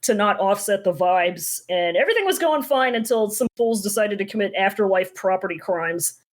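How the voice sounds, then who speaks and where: A woman's voice: mid-range voice, lively intonation, normal speed. Irreverent woman, casual and slangy. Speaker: podcast co-host. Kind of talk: casual book recap chat.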